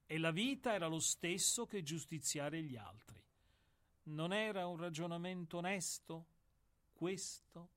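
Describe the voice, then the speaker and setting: An actor in a radio play playing an old, world-weary executioner who speaks in a deliberate, declamatory way with weighted pauses. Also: male voice, a little high, animated, a bit bright. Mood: reflective, confessional. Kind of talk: dramatic radio play monologue.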